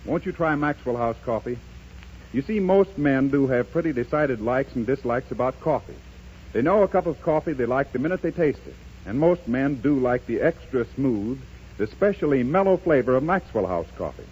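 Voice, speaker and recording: A man's voice: mid-range voice, animated, normal speed, a little mellow, breathy edge. A man reading a 1930s radio commercial as announcer, with a persuasive, emphatic salesman's delivery. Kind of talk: 1930s radio advertising read. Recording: muffled 1930s radio broadcast.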